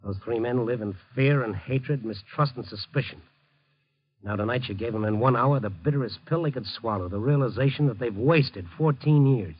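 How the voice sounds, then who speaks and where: Actor as hard-boiled reporter, muffled old radio. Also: male voice, medium pitch, moderate pace, slightly rough, dry recording, natural voice. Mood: firm persuasion. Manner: urgent, moralizing. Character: earnest, hard-boiled newspaper reporter, man. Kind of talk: 1950s radio drama acting. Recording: dull vintage radio broadcast recording.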